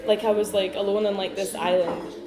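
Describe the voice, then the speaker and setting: Young woman, rapid chatty delivery, echoey room, crowd and dishes behind. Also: mid-range voice, little pitch movement. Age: young adult. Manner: quick, chatty, frequent fillers. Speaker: interviewee. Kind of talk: casual personal chat.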